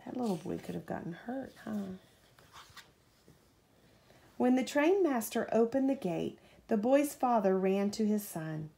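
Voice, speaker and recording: Mother-type female storybook reader: unhurried, animated, lightly gravelly, engaging a child with questions. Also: medium pitch. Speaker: mother reading a bedtime story aloud. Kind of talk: expressive children's storybook read-aloud.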